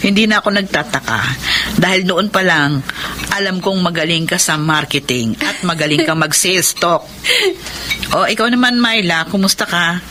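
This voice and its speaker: A woman's voice: lowish voice, normal speed, pushed and bright, a little hiss or hum. A middle-aged Filipino actress playing a caring, scolding mother of grown daughters in a radio drama. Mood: caring, proud.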